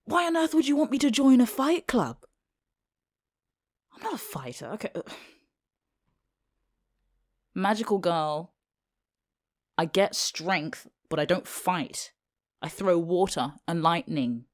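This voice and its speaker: A woman with a British accent playing a reluctant, exasperated teenage schoolgirl with a secret magical identity, in a casual, halting, sardonic ad-libbed audio roleplay. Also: fairly low pitch, very animated. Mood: wary, reluctant.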